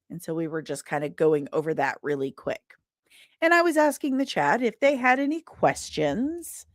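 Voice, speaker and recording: A woman's voice: fairly low pitch, dry recording, clean studio sound. A woman hosting a casual livestream, chatting playfully and emphatically straight to her viewers. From Britain. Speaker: livestream host and comic book writer.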